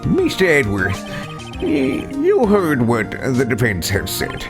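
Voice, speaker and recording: A man's voice: mid-range voice, huge pitch swings, slow, vocal fry, heavily acted. A man voicing an elderly judge in a courtroom video game, stern, pompous and easily flustered, with a ponderous, stammering, formal delivery. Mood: deliberate, inquiring. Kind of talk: live voiced playthrough of a courtroom video game. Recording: game music under the voice.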